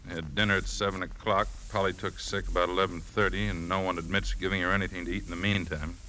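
An actor playing a gruff, matter-of-fact police captain in a 1950s radio drama. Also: male voice, deep voice, flat delivery, quick pace, pushed and bright. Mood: businesslike. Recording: noisy old 1950s radio recording.

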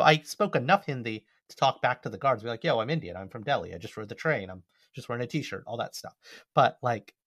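A man's voice: medium pitch, fairly bright tone, husky, dry recording. American man chatting, dry close sound. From United States. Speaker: podcast co-host. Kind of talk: casual conversational discussion.

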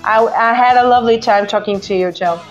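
A woman from Portugal with a Portuguese accent, a native Portuguese speaker, hosting a casual live call-in show in a halting way with repeated words. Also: a little high. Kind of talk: casual live chat with listeners. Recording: dull lo-fi app audio with music. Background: music.

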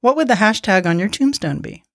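A woman's voice: mid-range voice, very animated, no echo, clean studio sound. A woman hosting a podcast who asks the guest questions and responds enthusiastically. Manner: enthusiastic, quick to agree. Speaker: podcast host. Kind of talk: casual interview.

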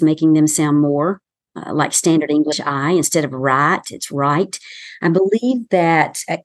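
A woman with a softened Southern American accent speaks in a low, even register. She explains communication skills in a measured coaching tone, and her voice sits close and dry with no room sound. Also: no room sound. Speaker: communication consultant guest. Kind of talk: professional podcast interview.

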